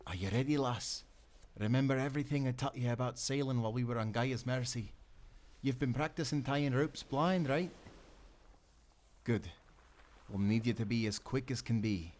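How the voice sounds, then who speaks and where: A man's voice: medium pitch, normal speed, darkish tone, close to an everyday voice. Man acting hearty, earnest seafaring sailor. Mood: encouraging. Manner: folksy nautical talk, earnest. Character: hearty, loyal sailor and timberman, grown man. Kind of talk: audio roleplay acting.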